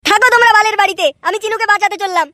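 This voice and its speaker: A man's voice: very high voice, steady tone, very fast, sharp tone, no echo, studio quality. A man voicing a brash, hot-tempered, foul-mouthed young boy in an amateur comic cartoon, scolding and blustering. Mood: indignant.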